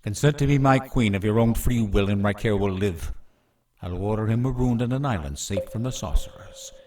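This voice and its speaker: A man's voice: fairly low pitch, normal speed, warm tone, distant mic, close to an everyday voice. An actor playing an arrogant young prince hungry for the throne in a radio drama, imperious and menacing as he declaims. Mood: gloating, menacing.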